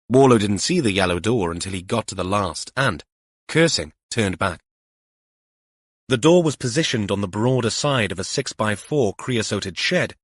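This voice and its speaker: A man's voice: fairly low pitch, slightly rough, no echo, studio quality. A man reading a mystery novel aloud as scripted audiobook narration.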